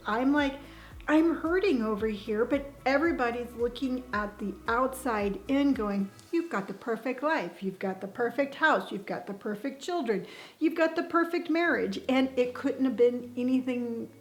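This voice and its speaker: A middle-aged woman talking earnestly to her viewers about taking back her power, emphatic, sighing now and then and restarting her words. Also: fairly high pitch. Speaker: vlogger sharing life advice. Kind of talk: personal motivational talk to camera.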